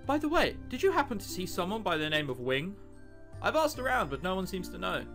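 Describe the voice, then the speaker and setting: Man reading an earnest, puzzled passenger, animated pitch. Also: brisk, faint music behind, exaggerated voice. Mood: earnest, searching. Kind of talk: visual novel dialogue read aloud.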